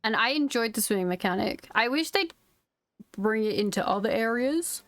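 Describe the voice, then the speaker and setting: A woman's voice: a little high, animated, moderate pace, a bit bright, no room sound. A woman streaming a video game, chatting casually with her viewers as she plays. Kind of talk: casual live stream commentary.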